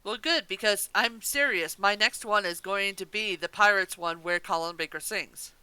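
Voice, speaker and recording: Bright, clear-voiced woman co-host. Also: mid-range voice, normal speed, dry recording, low-quality recording. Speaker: podcast co-host. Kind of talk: casual review discussion.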